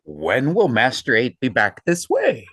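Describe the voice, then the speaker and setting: A man playing a genial, joking, hearty gentleman in an audio play. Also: medium pitch, huge pitch swings, measured pace, breathy edge, no room sound, clean studio sound, exaggerated voice.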